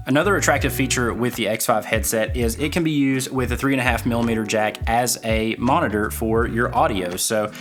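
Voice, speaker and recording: A man's voice: mid-range voice, steady tone, a little fast, hardly any pauses, faint music behind. A man giving a casual, conversational gear review to viewers, with touches of dry humour.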